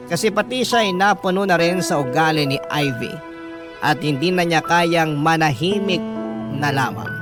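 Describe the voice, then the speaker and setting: Male radio drama narrator, lighter-pitched, first-person story reading over a music bed.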